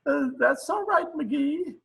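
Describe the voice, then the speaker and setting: A man playing a jolly Swedish immigrant family man in a radio comedy, with halting, broken English. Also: very high-pitched, lively intonation, creaky, a bit bright, exaggerated voice. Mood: jolly, joking. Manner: halting, broken English, chuckling at own jokes. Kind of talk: old-time radio comedy acting.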